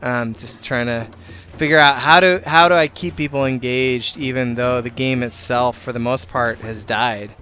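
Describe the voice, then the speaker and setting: A man's voice: medium pitch, fairly even intonation, normal speed, sharp tone, slight background noise. A man who leads a gaming group, talking casually while streaming a game, earnest and rambling, with some casual swearing.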